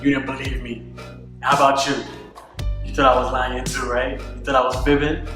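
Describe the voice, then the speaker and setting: An actor voicing a pompous, fun-loving king in an amateur movie, talking in a booming, theatrical, demanding way. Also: little pitch movement, normal speed, natural voice. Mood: delighted. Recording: echoey room with faint music.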